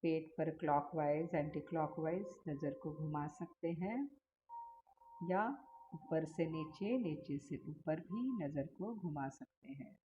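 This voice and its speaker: An Indian woman leading a guided body-scan meditation as a teacher, giving soothing instructions with long pauses between phrases. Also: low voice. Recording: dull lo-fi sound with faint noise.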